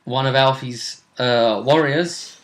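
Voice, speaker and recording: A man casually recapping a tabletop role-playing tournament as its host, telling it in halting bursts with dramatic repetition. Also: medium pitch. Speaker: host and game master.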